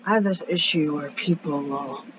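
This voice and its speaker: Raspy deep-voiced woman venting in a rambling vlog. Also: normal speed. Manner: rambling, tangent-prone, venting. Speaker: vlogger. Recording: dull lo-fi sound with faint noise.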